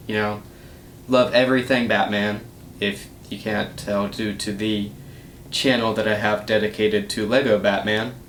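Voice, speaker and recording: A young man giving a casual, rambling update as host of a gaming channel, recorded indoors in a small room. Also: fairly bright tone. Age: young adult. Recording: roomy echo, low rumbling noise.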